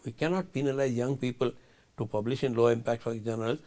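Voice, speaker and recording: A man's voice: mid-range voice, fairly quick, darkish tone. An older man, a guest scientist in a studio interview, arguing emphatically and asking rhetorical questions.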